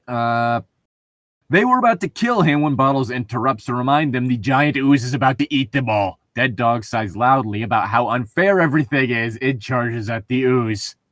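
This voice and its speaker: A man's voice: mid-range voice, animated, normal speed, fairly bright tone, no room sound, clean studio sound. A man casually reading out and joking about tabletop gaming stories, amused and given to swearing. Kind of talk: casual group banter and story reading.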